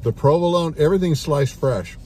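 A man in his forties hosting a casual food review from a parked car, loud, excitable and jokey. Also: a little high, fairly quick, a little hiss or hum. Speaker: radio host.

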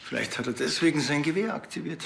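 An actor playing a chatty small-town Bavarian police officer, casual and colloquial, in a TV crime comedy. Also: male voice, fairly high pitch, lively intonation, fast talker, gravelly, fairly bright tone, a little hiss or hum. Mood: musing.

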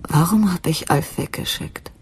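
An actress playing a troubled, anxious wife in a German radio crime drama, with a hesitant, brooding manner. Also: female voice, fairly low pitch, normal speed, a little hiss or hum, lightly acted.

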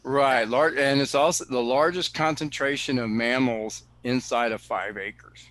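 A man, director of a cave preserve, answering questions on a video call in an explanatory way, often pausing with fillers and restarting sentences. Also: mid-range voice, a bit bright, a little hiss or hum. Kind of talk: answering questions in an online talk.

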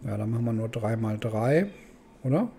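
A man's voice: medium pitch, lively intonation, moderate pace, mellow, a little hiss or hum. A man giving casual let's play commentary, thinking aloud and muttering questions to himself. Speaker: gaming host.

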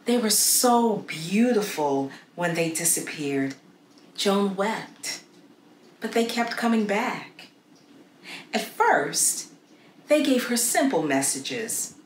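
A middle-aged woman reading a story aloud for children in an expressive, deliberate way with dramatic pauses. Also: medium pitch. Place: small indoor room against a plain wall. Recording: roomy echo with faint background noise.

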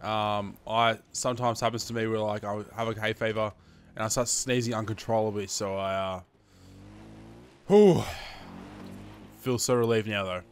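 A man's voice: lowish voice, fairly even intonation, normal speed, pauses often, clean tone. A man in his twenties with an Australian accent gives casual, chatty, sweary gameplay commentary into a headset, a little sniffly from hay fever. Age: 20s. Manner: sweary, chatty, addressing viewers as guys. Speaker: gaming video host.

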